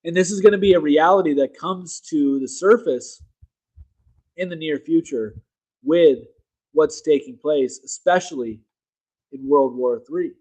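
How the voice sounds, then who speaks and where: A man's voice: fairly high pitch, measured pace, a little mellow, low-quality recording. Emphatic, rambling male streamer. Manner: emphatic, rambling, self-correcting; reads articles aloud to viewers. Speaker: political livestream host. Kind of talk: casual opinion livestream.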